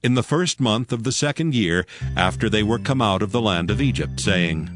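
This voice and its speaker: Low-voiced man narrating scripture over music. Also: expressive, normal speed. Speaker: Bible narrator. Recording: music under the voice.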